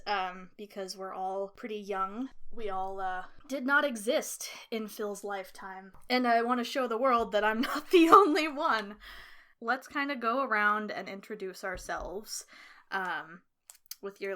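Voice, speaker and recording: A woman in her twenties hosting a podcast, reading scripted narration and chatting casually with guests. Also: medium pitch. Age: 20s. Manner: relaxed, laughs often, filler words in chat. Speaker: podcast host.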